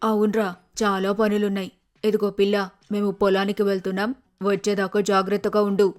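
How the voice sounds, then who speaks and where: A woman's voice: medium pitch, monotone, fairly quick, soft background music, natural voice. A woman voicing a stern, scolding older sparrow mother-in-law in a cartoon. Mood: instructing. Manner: scolding, firm.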